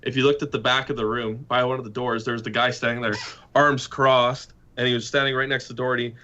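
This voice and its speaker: A man with a bright, forward tone telling relaxed stories in casual podcast chat. He swears freely and slips into quoted lines as he goes. His pitch sits in the middle of the range. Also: fast, muffled recording. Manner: laid-back storytelling, swears casually, acts out quotes. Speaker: podcast guest.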